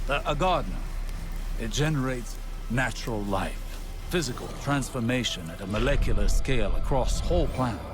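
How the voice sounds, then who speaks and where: An actor playing a brooding, analytical scholar-warlock in a video game cutscene, lecturing in a deliberate, intellectual way. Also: male voice, mid-range voice, natural voice. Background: loud orchestral score.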